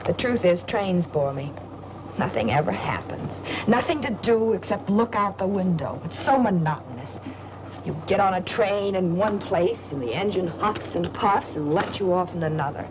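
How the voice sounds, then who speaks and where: Actress as a bored, headstrong young woman, low-pitched, noisy film. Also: expressive, normal speed, natural voice. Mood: bored, chatty. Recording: dull, noisy old film soundtrack, train rumble.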